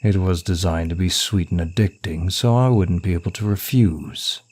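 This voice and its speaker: A man playing a suave, smug male demon of lust in an ASMR roleplay, with a teasing, deliberate, seductive delivery. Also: low-pitched, a little mellow. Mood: intrigued, coolly impressed.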